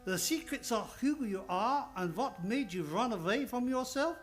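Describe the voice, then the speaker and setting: A man's voice: very high-pitched, lively intonation, moderate pace, faint music behind. A man playing a fatherly older psychoanalyst in an old-time radio drama, coaxing and insistent, with slightly broken phrasing. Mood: explaining, patient. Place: radio studio, live radio play.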